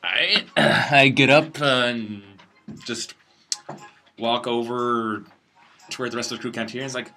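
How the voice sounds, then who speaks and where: A man's voice: mid-range voice, steady tone, measured pace, creaky, pauses often, bright, forceful tone, soft background music. A man playing a tabletop roleplaying game, chatting casually and thinking aloud in a hesitant way. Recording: faint noise in home recording.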